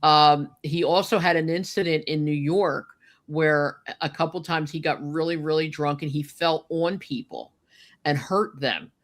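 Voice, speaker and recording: A woman's voice: sits low, steady tone, unhurried. An older woman reminiscing in casual, unscripted talk as a podcast host, chatty and emphatic, with frequent asides to her listeners. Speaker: podcast host telling personal memories.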